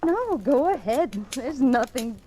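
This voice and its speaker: An actress playing a seductive, scheming wife in a mid-century radio thriller, with theatrical, hesitant delivery. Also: female voice, sits high, sing-song, takes it slowly, dark tone, exaggerated voice. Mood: guarded. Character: seductive, scheming wife entrapping a murderer to save her husband. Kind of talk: 1940s-50s radio thriller acting. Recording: noisy vintage radio drama recording with clicks.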